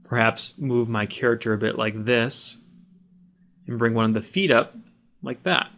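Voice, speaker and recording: A man with a mid-pitched voice narrates a software tutorial as the instructor. He talks plainly and practically, explaining each action step by step as he performs it. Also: steady tone, unhurried. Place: voice-over of a screen recording.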